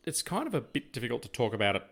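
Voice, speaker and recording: An older man with an Australian accent, chatting as an interviewer in a measured, discursive way. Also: mid-range voice, big pitch swings, gravelly, fairly bright tone. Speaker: podcast co-host, interviewer. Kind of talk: casual conversational interview.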